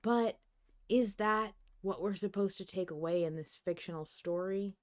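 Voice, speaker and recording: A woman hosting a podcast, debating in casual fan discussion, animated and often interrupting herself as she thinks aloud. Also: medium pitch, normal speed. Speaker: podcast host.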